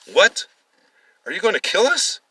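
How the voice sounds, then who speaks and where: A man voicing a frightened captive in a story, loud and urgent as he pleads with his killer. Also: huge pitch swings, measured pace, husky, recorded from a distance, exaggerated voice. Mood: panicked. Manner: loud, urgent, high-strung.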